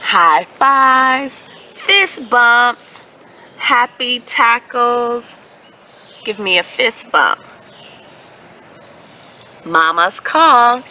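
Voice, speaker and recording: A woman in her thirties reading a picture book expressively to children outdoors, addressing young listeners with questions in an animated, enunciated way. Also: fairly high pitch, extremely slow, pauses often, low-quality recording. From Haitian American. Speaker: children's story reader, host. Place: outdoors in a leafy park. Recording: steady outdoor ambient noise with insects. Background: insects, birds, outdoor ambience.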